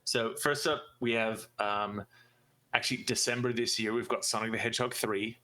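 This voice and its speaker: Male podcast host, bright forward voice, mid pitch, informal fan chat. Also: little pitch movement, moderate pace. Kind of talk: casual chat about movies and games.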